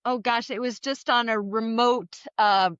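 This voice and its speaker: Woman guest from switzerland and vermont, smooth clear voice, slightly muffled call audio. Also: fairly high pitch, fairly even intonation, a little slow, fairly bright tone, dry recording. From grew up in Switzerland and Vermont. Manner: earnest, articulate, recounts at length. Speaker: wildlife biologist guest. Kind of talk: reflective storytelling in conversation.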